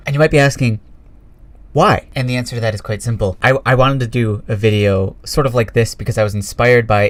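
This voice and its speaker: A man hosting a YouTube video, speaking in an informal, self-deprecating way as he narrates and chats. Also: on the low side. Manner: informal, self-deprecating, animated when reading. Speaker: YouTube host reading fan fiction. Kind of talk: casual YouTube narration and chat.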